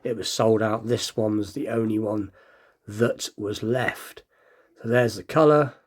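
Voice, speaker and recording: A man's voice: on the low side, steady tone, measured pace. Slightly nasal man, casual vlogger. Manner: rambling, thinking aloud. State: slight cold. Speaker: hobby unboxing video host. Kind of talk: casual chatty unboxing commentary.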